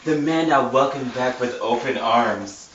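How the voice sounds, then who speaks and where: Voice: mid-range voice, unhurried, fairly bright tone. A person reading a novel aloud casually in a mock-dramatic, giggly way. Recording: roomy, distant mic, muffled, noisy.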